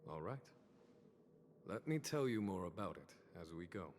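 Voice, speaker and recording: A man voicing a calm, courteous general in a fantasy video game, with formal, measured speech. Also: low-pitched. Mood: calm, apologetic. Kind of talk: scripted video game voice acting. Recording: long reverb, faint noise behind.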